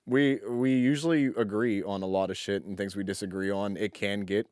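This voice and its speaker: A man's voice: lowish voice, fairly even intonation, moderate pace, darkish tone, clean tone, no echo, clean studio sound. A man in his twenties co-hosting a podcast in a blunt, informal, slangy way. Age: 20s. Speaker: podcast co-host. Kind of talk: casual podcast chat.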